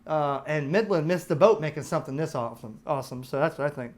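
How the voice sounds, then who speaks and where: A man's voice: on the high side, fast talker, darkish tone. An American man giving a casual, chatty product review, explaining features step by step in an informal way. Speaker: host of a radio review channel.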